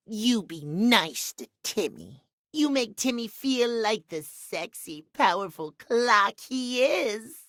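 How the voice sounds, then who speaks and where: Vain cartoonish game cat, high voice, swooping intonation, pouty baby talk, self-adoring, needy. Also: male voice, a bit bright, no echo, clean studio sound, heavily acted. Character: vain, childish, needy male cat clock. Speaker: voice actor. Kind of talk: video game character acting.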